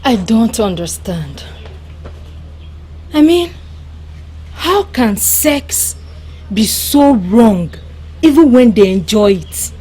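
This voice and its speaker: An actress playing a naive young daughter, puzzled and hesitant in a film drama. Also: female voice, fairly high pitch, sing-song, takes it slowly, long pauses, warm tone, breathy edge, full character voice. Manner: hesitant, questioning.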